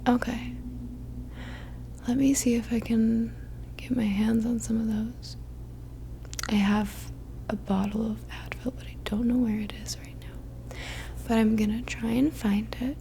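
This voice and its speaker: Slow, whispering ASMR woman. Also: fairly high pitch, level tone, a little hiss or hum. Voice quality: soft, breathy whisper. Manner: gentle, soothing, unhurried. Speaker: ASMR roleplayer. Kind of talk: whispered ASMR roleplay as a caring friend.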